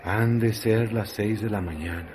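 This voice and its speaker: Slow, low-voiced male radio narrator. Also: slight background noise. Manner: slow, measured and intense. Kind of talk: dramatic radio novel narration. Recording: narration over loud music.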